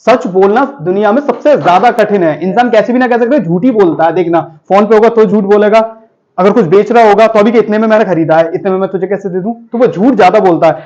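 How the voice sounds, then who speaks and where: A man's voice: sits high, fast, darkish tone, highs cut off. A man teaching vocabulary to students in a chatty, joking classroom style, often calling them children and friends.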